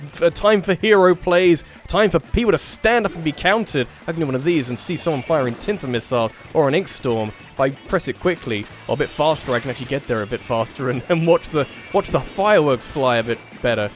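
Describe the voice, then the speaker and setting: Man, slightly nasal, casual play-by-play, rambling, faint music behind. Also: fairly high pitch. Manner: rambling, self-correcting play-by-play. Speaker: tournament organiser and commentator. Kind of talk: casual live game commentary.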